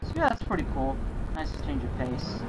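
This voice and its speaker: Halting young-sounding host over faint noise. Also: mid-range voice, very animated. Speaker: host of a gaming car review series. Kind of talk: casual group chat and car review. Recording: noisy game and vehicle sounds.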